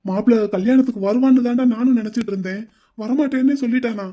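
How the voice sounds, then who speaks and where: A man's voice: very high-pitched, fast, dull audio. A man voicing a pleading, defensive brother-in-law. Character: pleading brother-in-law caught in a family quarrel.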